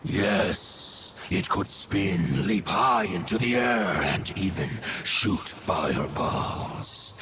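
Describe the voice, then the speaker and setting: An actor playing a proud, solemn wolf god spirit in a video game, speaking in a stately, archaic way. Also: male voice, sits low, animated, slow, few pauses, noisy background, heavily acted. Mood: solemn, reminiscing. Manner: stately, formal archaic speech.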